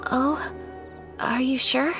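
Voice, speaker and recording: Gracious young noble lady for a game, high and courteous. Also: steady tone, takes it slowly, music in the background. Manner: formal, gracious, soft-spoken. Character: gentle, refined young noblewoman, polite and earnest. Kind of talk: game voice acting.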